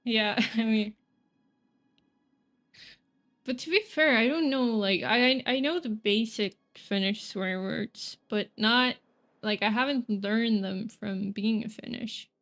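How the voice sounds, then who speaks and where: A woman's voice: on the high side, a little slow, fairly bright tone. A young woman from Sweden streaming a game and chatting casually, rambling and correcting herself as she thinks aloud. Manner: rambling, hesitant, self-correcting, giggly. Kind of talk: casual gameplay chat.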